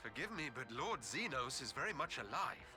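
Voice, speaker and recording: An actor playing a polite, earnest envoy in a video game, with courteous formal diction. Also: male voice, medium pitch, big pitch swings, normal speed, over music, natural voice. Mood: earnest, grave. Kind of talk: video game cutscene acting.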